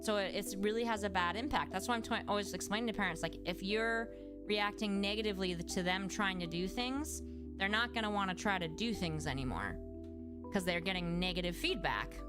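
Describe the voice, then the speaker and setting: A woman's voice: mid-range voice, a little fast. A young adult woman, a speech-language pathologist, chatting casually on a livestream and answering viewers, with lots of "like" fillers and restarts. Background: background music.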